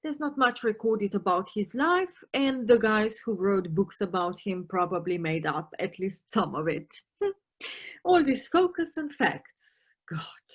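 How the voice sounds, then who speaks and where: Woman playing a theatrical, husky, eccentric policewoman. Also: mid-range voice, lively intonation, takes it slowly. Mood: musing, storytelling. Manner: theatrical, teasing, sprinkles in German phrases. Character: eccentric, playful, officious European policewoman who loves art. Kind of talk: audio play acting.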